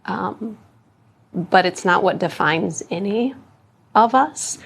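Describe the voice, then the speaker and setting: A middle-aged woman, a school superintendent in an interview. She speaks earnestly and deliberately, pausing between phrases, with a mid-range voice. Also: medium pitch, gravelly. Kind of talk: news interview soundbite.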